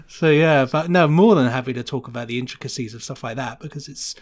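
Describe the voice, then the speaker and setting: A man chatting casually and enthusiastically as a sound designer guest on a podcast, with an animated, chatty manner. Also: mid-range voice, fairly quick. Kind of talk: casual enthusiastic interview chat.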